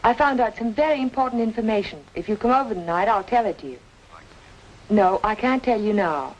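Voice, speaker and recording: A woman's voice: a little high, lively intonation, a little fast, a little mellow, muffled recording, a little hiss or hum, lightly acted. A woman playing a determined, lovelorn young amateur sleuth in an old-time radio noir drama, speaking earnestly and insistently. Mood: urgent, insistent.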